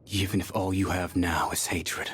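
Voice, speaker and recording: Low, brooding young tough guy. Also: little pitch movement, moderate pace, a bit bright, slightly rough, full character voice. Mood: weary, resigned. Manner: blunt, weary, resigned. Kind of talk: English video game voice acting. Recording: music under the voice.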